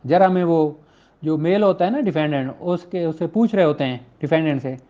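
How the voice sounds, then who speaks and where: A man's voice: a little high, dark tone. A man with a Pakistani accent giving an informal law lecture in a conversational, questioning way. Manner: conversational, poses rhetorical questions, acts out courtroom exchanges; switches between Urdu and slowly read English legal text. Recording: dull, lo-fi muffled audio.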